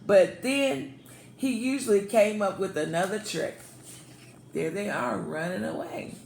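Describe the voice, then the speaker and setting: A woman reading a picture book aloud as a storyteller, expressive and prompting young listeners. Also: mid-range voice. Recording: echoey room with faint background noise.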